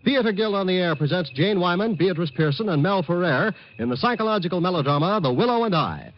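A man's voice: sits high, animated, fast talker. A man with an American accent announcing in a formal, polished late 1940s radio style. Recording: muffled old radio broadcast, music behind.

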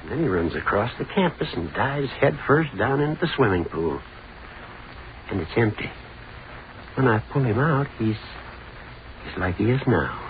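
A man playing a folksy, excitable night watchman, telling things haltingly and colloquially in 1930s radio drama style. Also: medium pitch, close to an everyday voice. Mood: shaken, earnest. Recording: tinny, noisy 1930s radio recording.

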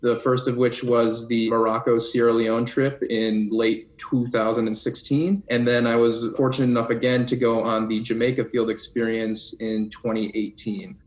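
A man's voice: mid-range voice, little pitch movement, moderate pace, hardly any pauses, reverberant, lo-fi sound. A man in his twenties introducing himself plainly as an alumnus guest over a video call.

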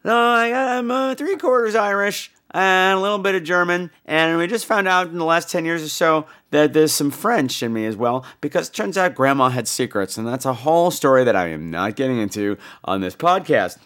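A hoarse American man with laryngitis, chatting in a campy, animated, comic way as a podcast host. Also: fairly high pitch, moderate pace, hardly any pauses. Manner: campy, animated, foul-mouthed. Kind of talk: casual comic podcast chat.

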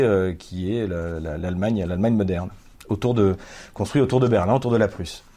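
Low, dark-voiced man talking haltingly. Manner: halting, many fillers and repeated words; argumentative, defensive. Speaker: channel host answering viewer comments. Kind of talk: unscripted monologue to camera.

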